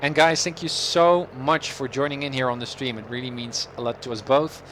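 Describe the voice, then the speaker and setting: A man's voice: mid-range voice, steady tone, a little fast. Encouraging man streaming, faint background noise. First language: not English. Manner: encouraging, affectionate. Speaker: flight sim streamer and informal flight instructor. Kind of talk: casual livestream chat, encouraging coaching.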